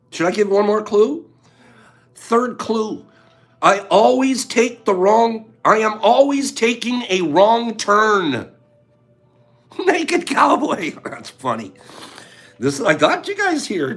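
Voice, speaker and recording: A man's voice: sits high, very animated, slow pace, fairly bright tone. A man hosting a casual livestream, chatting with viewers and running giveaways in a playful, teasing way. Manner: playful, teasing, talks straight to chat. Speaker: livestream host.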